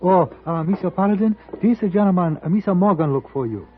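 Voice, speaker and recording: Casting: eager hotel errand man, high voice, broken English, old radio show, tinny audio. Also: lively intonation, brisk. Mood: eager, deferential. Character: excitable, deferential male hotel servant with broken English. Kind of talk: 1950s radio comic-relief acting. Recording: tinny noisy vintage radio broadcast.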